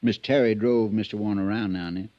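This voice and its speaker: A man's voice: on the low side, fairly even intonation, fast, close to an everyday voice. A man playing a loyal, worried stable hand in a 1950s radio drama, speaking in a folksy, hesitant, respectful way. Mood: deferential. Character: deferential, plain-spoken male stable hand, loyal and worried. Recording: dull, muffled vintage radio recording.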